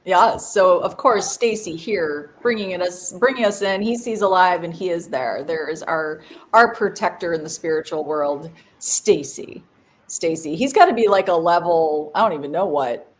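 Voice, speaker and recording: A woman's voice: on the low side, normal speed, a little hiss or hum. A woman co-hosting a casual live stream, giggly and a bit phlegmy, greeting viewers by name. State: phlegmy, coughing.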